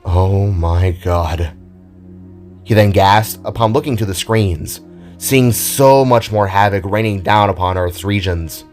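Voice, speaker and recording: A man's voice: lowish voice, fairly even intonation, a little slow. A man reading a scripted fantasy story as narrator, in a dramatic storytelling manner. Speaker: narrator of an amateur audio drama. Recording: music under the voice. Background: background music.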